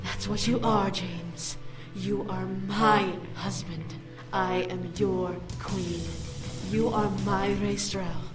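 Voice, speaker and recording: A woman's voice: medium pitch, fairly even intonation, slow, over loud music, natural voice. Woman acting a haughty, mocking demon noblewoman. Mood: possessive. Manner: imperious, mocking, theatrical. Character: haughty female demon noble, scorned fiancée and would-be queen. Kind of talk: visual novel game voice acting.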